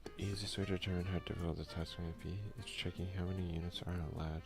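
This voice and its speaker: Gravelly, hushed young man, low voice. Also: little pitch movement, quick pace, over music. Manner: soft-spoken, often slipping into whispers. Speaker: gaming video creator. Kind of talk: hushed ASMR let's play, commenting and reading game text. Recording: faint noise behind a home recording.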